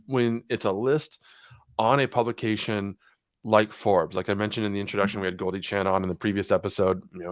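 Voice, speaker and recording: A man's voice: on the low side, no room sound, clean studio sound. A man hosting an interview show, asking questions and recapping takeaways for listeners in a friendly, joking way.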